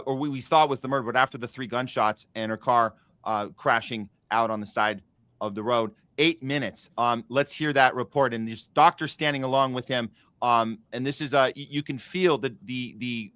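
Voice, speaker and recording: A man's voice: mid-range voice, sharp tone, no echo. A man hosting a political talk podcast, speaking earnestly and rambling with frequent restarts. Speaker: podcast host. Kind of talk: casual political talk show.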